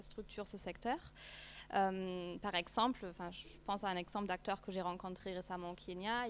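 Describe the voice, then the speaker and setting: A woman's voice: mid-range voice, a little hiss or hum. Hesitant non-native woman presenting research. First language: not French. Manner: hesitant, many fillers and restarts. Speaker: postdoctoral researcher presenting a project. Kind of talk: academic seminar presentation. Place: seminar room, seated at a long table.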